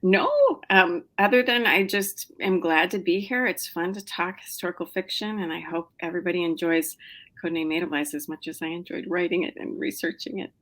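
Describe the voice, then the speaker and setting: A woman's voice: fairly low pitch, a little fast, fairly bright tone. A middle-aged woman novelist chatting as a podcast guest, with lots of fillers, restarts and small laughs. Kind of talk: casual interview chat.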